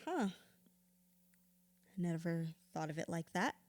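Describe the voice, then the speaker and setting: A guarded blunt lady in therapy, lowish voice, dry close sound, audio drama. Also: very animated, slow, a little mellow, no echo. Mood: subdued, confused. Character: troubled woman in therapy, recovering drinker, guarded and blunt. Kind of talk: naturalistic audio drama acting.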